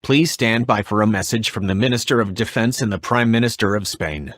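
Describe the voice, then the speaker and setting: A man with a fairly low voice reads an emergency broadcast. The sound is very dry, and his scripted delivery is formal and impersonal. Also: moderate pace, a bit bright, dry recording. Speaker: emergency alert announcer.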